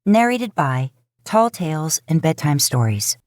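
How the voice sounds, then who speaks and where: A woman narrating a fan fiction audiobook in a steady storytelling manner. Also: low voice, a little slow, dry recording, clean studio sound.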